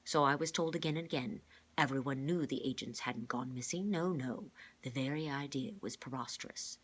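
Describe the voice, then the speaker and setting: A woman voicing a grave, gentle older male mentor with a measured, formal way of speaking, reading a children's storybook aloud. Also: extremely low pitch, slightly rough. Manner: measured, formal, careful wording.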